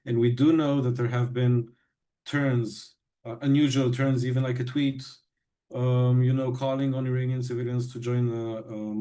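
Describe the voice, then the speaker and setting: Mellow-voiced male briefing host. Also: medium pitch. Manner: hesitant, many ums and uhs. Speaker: press club host. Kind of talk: moderating a press briefing.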